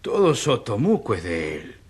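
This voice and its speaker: Timid peasant witness, radio drama. Very husky, low, hesitant. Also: male voice, wildly swooping pitch, exaggerated voice. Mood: hesitant, nervous. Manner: halting, timid.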